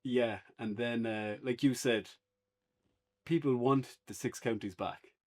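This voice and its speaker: An Irish man chatting casually as a podcast co-host, unscripted, halting at times as he searches for words. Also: medium pitch, fairly even intonation. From Ireland. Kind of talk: casual unscripted review chat.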